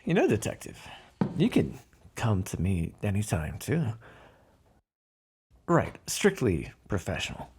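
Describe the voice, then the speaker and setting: A man playing a flirtatious, menacing mob boss in an ASMR roleplay, smooth-talking in a teasing, insinuating way with drawn-out phrasing. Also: on the low side, huge pitch swings, noisy background, exaggerated voice. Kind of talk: ASMR roleplay voice acting, one-sided dialogue.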